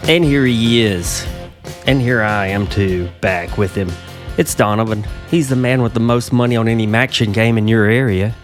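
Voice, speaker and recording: A man's voice: fairly low pitch, normal speed, music in the background. A man hosting a casual TV podcast, talking easily with a slightly stuffy voice from a cold. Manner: conversational, slightly self-interrupting. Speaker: podcast host. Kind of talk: casual chat.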